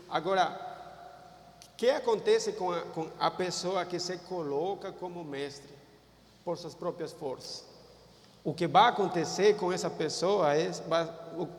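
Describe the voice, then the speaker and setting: A Peruvian man whose first language is Spanish, preaching a sermon in Portuguese with a Peruvian Spanish accent, halting and repeating words as he searches for phrases. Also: fairly high pitch. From Peru. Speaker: pastor. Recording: echoey hall, far from mic.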